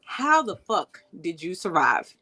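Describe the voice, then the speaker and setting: A woman hosting a casual online talk show, loud and playful, jumping her pitch up for emphasis. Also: big pitch swings, unhurried, slightly rough. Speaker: host. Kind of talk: casual chat.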